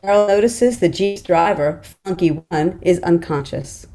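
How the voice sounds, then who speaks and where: A woman's voice: on the low side, measured pace, dark tone. A woman reading screenplay action lines as scripted narration. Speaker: stage-direction reader.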